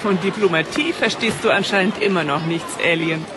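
A woman's voice: on the low side, fairly quick, background noise, natural voice. A woman playing a sensible, bossy adventurer in a fantasy audio drama. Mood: dry, annoyed. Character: sensible, bossy female adventurer keeping companions in line. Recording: music and crowd bustle behind.

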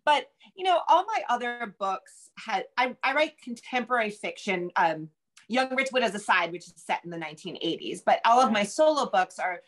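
A middle-aged woman answering as a guest author, conversational and explanatory, often halting to rephrase herself. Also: a little high, big pitch swings, fairly bright tone. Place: home room with bookshelves, indoors. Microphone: video call.

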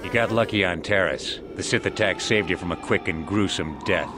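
A very low-pitched, threatening bounty hunter, acted by a man in a video game. He is cocky and boastful, with clear outdoor ambience behind the voice. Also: normal speed, background noise, lightly acted. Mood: menacing, cocky. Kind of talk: video game character acting.

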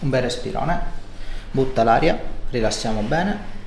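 A man's voice: mid-range voice, lively intonation, a little slow, gravelly. A man in his thirties talking a patient through hands-on treatment, reassuring and explanatory, with short instructions. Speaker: chiropractor. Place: indoor home-style treatment room. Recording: roomy echo, noticeable background noise.

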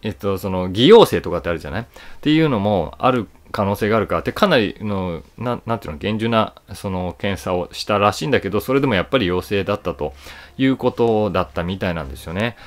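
A man in his forties talks casually to camera in a low voice. His intonation moves around a lot as he explains things conversationally, leaning on key words for emphasis. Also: expressive, fast. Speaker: voice actor and YouTube host. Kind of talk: casual solo commentary to camera.

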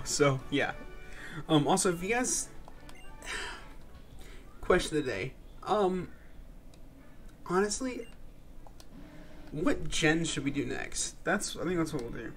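A man's voice: on the high side, a bit bright. Stuffy young man gaming. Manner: laid-back, swearing, frustrated muttering. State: stuffy, sore throat. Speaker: gaming channel host. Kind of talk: casual let's-play commentary. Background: game music.

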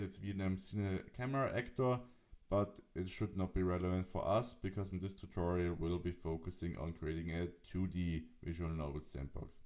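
Guy with a lowish voice doing a software screencast walkthrough. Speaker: tutorial presenter. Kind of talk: instructional software screencast narration.

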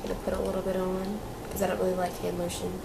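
A woman's voice: on the low side, completely flat delivery, fast talker, gravelly, very noisy. A young woman with a slightly nasal voice from a cold, chatting casually as she reviews beauty products in a small room. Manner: chatty, lots of fillers and repeats. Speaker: beauty vlogger.

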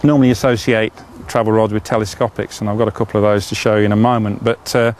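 A man's voice: fairly low pitch, level tone, quick pace, darkish tone, a little hiss or hum. Chatty man showing fishing rods. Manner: enthusiastic, chatty, frequent "uh" fillers. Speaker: fishing tackle presenter. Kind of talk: product demonstration.

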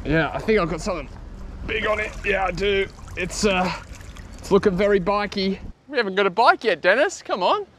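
A man in his thirties with an Australian accent, chatting casually and excitably as he hosts an outdoor vlog. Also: high-pitched, fairly quick. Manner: excitable, exclaiming. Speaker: host of a magnet fishing vlog. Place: outdoors beside a canal. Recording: outdoor noise, traffic and ambience.

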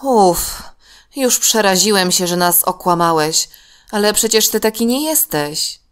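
A woman's voice: medium pitch, expressive, unhurried, clean tone, voice pushed up, lightly acted. A woman voicing a trusting, principled young boy in a children's story, sincere and emphatic. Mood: relieved.

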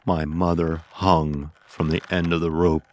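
A man narrating a scripted first-person horror story in a grave, deliberate storytelling manner. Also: very deep voice, flat delivery.

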